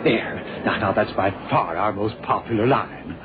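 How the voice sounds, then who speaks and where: A man's voice: on the low side, measured pace, lightly acted. An actor playing a fussy, obsequious shop salesman in a radio drama, courteous, hesitant and eager to please. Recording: noisy, phone-like old radio recording.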